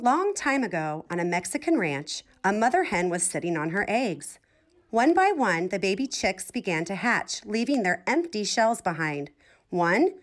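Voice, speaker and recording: A woman reads a children's storybook aloud. Her medium-pitched voice moves up and down in a lively, expressive way. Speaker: storybook reader.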